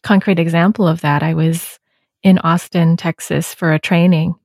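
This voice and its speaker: A woman's voice: lowish voice, level tone, moderate pace, a little mellow, no echo, studio quality. A woman hosting a podcast, speaking in a reflective, thoughtful way. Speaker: podcast host. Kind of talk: conversational interview.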